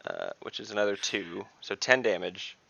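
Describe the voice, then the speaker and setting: A man's voice: lowish voice, moderate pace, vocal fry, no room sound, muffled recording. A man playing in an online tabletop game, explaining his moves and rolls in a rambling, casual way. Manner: lively, rambling, explains rules aloud. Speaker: player.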